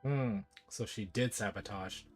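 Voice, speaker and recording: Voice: mid-range voice, measured pace, soft background music. A streamer giving casual game commentary, musing and asking questions aloud.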